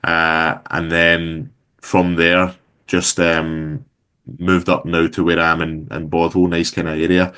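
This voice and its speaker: A man in his thirties with a Glaswegian Scottish accent talks as a guest on an interview. His voice sits very low. He rambles easily and fills his pauses with ums and uhs. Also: clear, resonant tone. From Scotland. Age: 30s. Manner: relaxed, rambling with many fillers. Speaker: guest entrepreneur. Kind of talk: casual interview chat.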